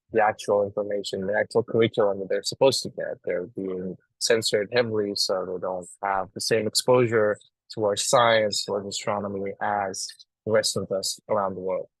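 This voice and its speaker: A man from Afghanistan speaking earnestly as a guest on a live online panel, pausing often and restarting his sentences. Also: on the low side, dry recording, low-quality recording. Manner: earnest, halting, many restarts. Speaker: astronomy outreach guest. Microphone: video call.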